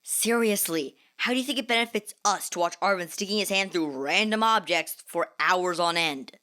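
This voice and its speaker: A woman's voice: lowish voice, moderate pace, bright, forceful tone, voice pushed down. A woman voicing a snappy teenage gang boy, sarcastic and argumentative, in a dramatised fanfiction reading.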